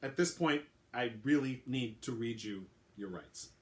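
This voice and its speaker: A man's voice: mid-range voice, a little slow, lightly acted. A man voicing a weary, blunt woman police detective with a dry, sarcastic, clipped manner.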